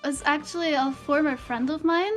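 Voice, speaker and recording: A high-pitched young woman with a funky British accent that has a German tinge. She rambles and giggles as she answers, and faint music plays under her voice. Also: fairly even intonation, moderate pace. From United Kingdom. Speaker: interview guest. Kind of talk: casual interview.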